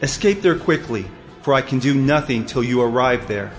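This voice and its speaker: An actor playing a solemn, commanding angel in a biblical audio drama, speaking with authority and urgency. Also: male voice, mid-range voice, expressive, moderate pace, soft background music, close to an everyday voice. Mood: solemn granting. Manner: authoritative, urging. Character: commanding male angel, solemn messenger of doom. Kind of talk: audio drama Bible acting.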